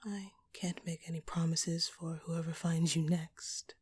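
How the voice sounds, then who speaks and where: A woman playing a dominant, hungry female vampire in an audio roleplay, taunting and menacing her prey in a condescending, breathy voice. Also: deep voice, little pitch movement, a little mellow. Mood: hushed, possessive. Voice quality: breathy, often dropping toward a whisper. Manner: slow, teasing, condescending, menacing. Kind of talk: audio roleplay acting, addressed to the listener.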